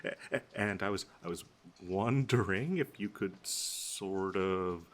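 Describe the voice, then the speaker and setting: A male actor as a lovesick, obsessive young man in an intimate audio roleplay. His voice sits low and moves slowly, tripping over itself with stammers and nervous giggles as he addresses his best friend. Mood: nervous, eager.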